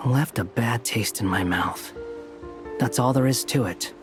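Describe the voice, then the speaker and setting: An actor playing an aloof, sardonic young scholar with a dry, curt delivery in a game cutscene. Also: male voice, mid-range voice, measured pace, natural voice. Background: game soundtrack music.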